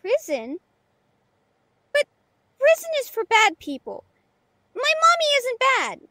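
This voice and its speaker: A woman's voice: very high-pitched, wildly swooping pitch, takes it slowly, pushed and bright, no echo, studio quality, full character voice. A woman voicing an innocent, feisty little girl with a childish, pleading way of talking in an audio drama. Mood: worried, pleading. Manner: childish, pleading, simple phrasing.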